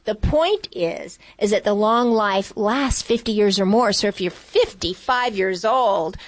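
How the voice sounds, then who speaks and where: A woman's voice: mid-range voice, unhurried. A woman talking conversationally and emphatically, giving motivational advice.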